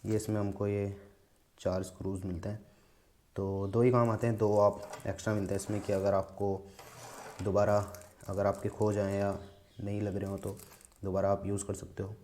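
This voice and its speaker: A man's voice: on the low side, little pitch movement, normal speed, dark tone, a little hiss or hum. A man giving a casual hands-on product demonstration in an informal, step-by-step explanatory manner. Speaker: vlogger reviewing a product.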